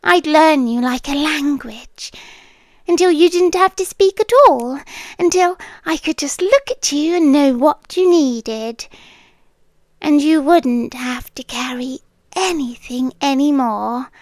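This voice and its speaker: A woman playing a possessive, devoted yandere girlfriend with a British accent, speaking softly and deliberately in an intimate ASMR roleplay, often slipping into whispers. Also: high voice, dry recording. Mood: tender, devoted. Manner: slow, deliberate, lingering pauses. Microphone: close microphone, dry sound.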